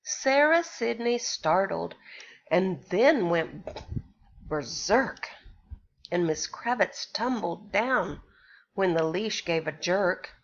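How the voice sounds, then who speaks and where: A woman, a pre-K teacher, reading a picture book aloud to young children and pausing to ask them questions. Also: mid-range voice, animated, dull audio. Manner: slow, sing-song storytelling with questions to the children.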